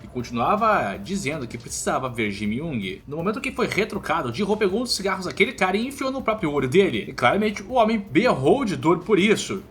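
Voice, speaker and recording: A man's voice: on the high side, big pitch swings, fairly quick, hardly any pauses, a bit bright, soft background music. A Brazilian man narrating a scripted story recap in an informal, colloquial storytelling way.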